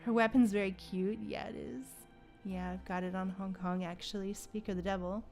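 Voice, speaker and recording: A woman's voice: medium pitch, steady tone, normal speed, a little mellow, soft background music. A woman hosting a casual gaming livestream, chatting with viewers and thinking aloud in a playful, conversational way. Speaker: livestream host.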